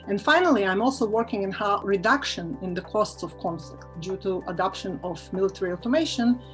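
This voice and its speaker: Woman academic from Israel, mid pitch, measured delivery, light music behind. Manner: measured, with frequent filler pauses. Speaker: assistant professor. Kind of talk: interview-style talk about research.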